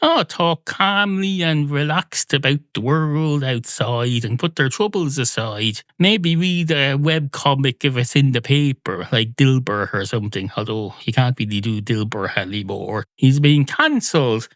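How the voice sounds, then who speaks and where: A man's voice: medium pitch, a little slow, hardly any pauses, fairly bright tone, no room sound, studio quality, close to an everyday voice. A man voicing a blunt, argumentative, exasperated barman in a comic podcast monologue. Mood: weary. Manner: blunt, sweary, dismissive.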